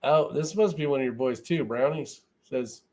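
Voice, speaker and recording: A man's voice: medium pitch, normal speed, mellow. A man hosting a casual live-stream chat, talking in an informal, loose and rambling way. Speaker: host of a shooting live chat show.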